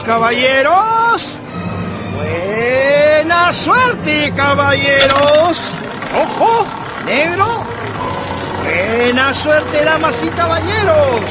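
A showy casino croupier for a radio drama. His voice is very high and swings widely as he stretches out his calls to the gamblers. Loud music and a crowd surround him. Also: heavily acted. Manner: theatrical drawn-out calls. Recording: music and busy crowd behind the voice.

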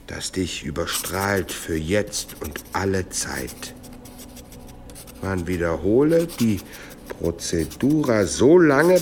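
Medieval cleric for radio play: very slow, bass-leaning, stern ceremonial recitation. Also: male voice, darkish tone, a little hiss or hum. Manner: grave, deliberate, ceremonial. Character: solemn medieval cleric dictating an exorcism ritual.

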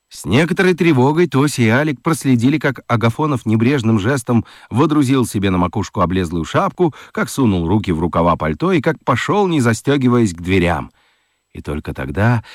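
A man narrating a children's radio play as an expressive, dramatic storyteller. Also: on the low side, no echo, clean studio sound.

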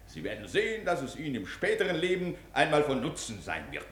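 A man acting a pompous, excitable prison director in a 1950s German radio play, declaiming and commanding like a schoolmaster. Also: a little high, a little fast. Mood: pleased, instructing. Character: pompous, excitable prison director drilling inmates in war games. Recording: roomy, faintly noisy 1950s radio recording.

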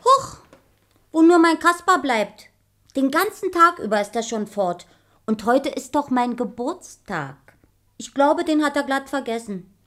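Excitable, kindly simpleton for fairy-tale radio drama: high, animated, naive and theatrical. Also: female voice, unhurried. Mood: fretting, eager. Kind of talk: radio play acting for a children's fairy tale.

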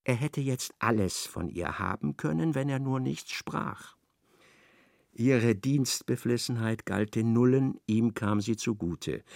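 A man narrating a literary radio play in a measured, precise storytelling manner. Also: medium pitch, gravelly, a little mellow, no echo, studio quality.

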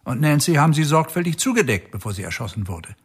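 Dogged sheriff, husky voice with lively pitch, radio play. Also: male voice, quick pace, heavily acted. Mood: earnest. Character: dogged worn male sheriff, case-obsessed.

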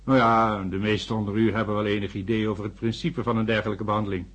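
An actor playing an earnest, thoughtful doctor who narrates a science fiction radio drama. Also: male voice, on the low side, level tone, fast talker, a bit bright. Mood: reflective, confiding. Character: male doctor narrating, earnest, sceptical but sympathetic. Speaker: narrator and character.

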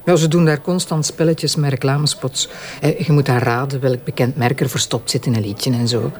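A man's voice: mid-range voice, steady tone, fairly quick, darkish tone, lightly acted. A man with a Flemish accent acting in a radio drama as a sceptical, scolding police superior, sarcastic and reproachful. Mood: explaining, defensive.

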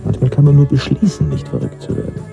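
A man's voice: medium pitch, very animated, fast talker, vocal fry, lo-fi sound, music in the background. An actor playing a laid-back young male friend in a radio drama, giving dry, cynical life advice in a philosophizing way. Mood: dry, musing. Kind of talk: naturalistic radio play dialogue.

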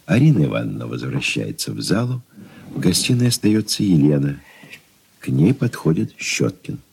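A man's voice: very deep voice, sing-song, normal speed, creaky, a little mellow. A man reading scripted stage directions as narrator of an old radio play. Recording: noisy old radio recording.

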